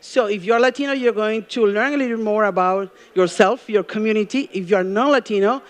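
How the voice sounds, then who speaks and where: A middle-aged woman from Peru with a Peruvian Spanish accent, giving a live talk as a journalism professor. She engages the crowd with questions and pauses often with ums and uhs. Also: medium pitch. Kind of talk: live stage talk to an audience. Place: event stage before a live audience.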